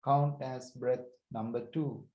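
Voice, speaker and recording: A man leading a guided breathing meditation as a teacher, speaking calmly and deliberately in short phrases with pauses. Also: medium pitch. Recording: roomy echo.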